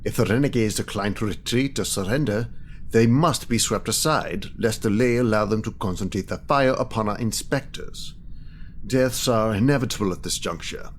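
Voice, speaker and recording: An actor playing a coolly analytical official in an audio drama, speaking in a formal, measured, clinical manner. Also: male voice, on the low side, slight background noise, close to an everyday voice. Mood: detached. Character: coolly analytical male official, precise calculating strategist.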